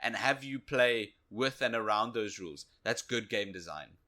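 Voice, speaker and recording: A man co-hosting a podcast, giving casual, appreciative game commentary in a measured, emphatic way. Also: fairly low pitch, lively intonation, bright, forceful tone. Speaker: podcast co-host. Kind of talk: casual video game review talk.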